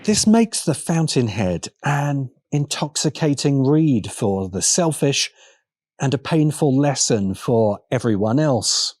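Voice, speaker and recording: A man narrating a scripted video essay in a deliberate, emphatic manner with pointed pauses. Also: medium pitch, very animated, darkish tone, no echo, clean studio sound.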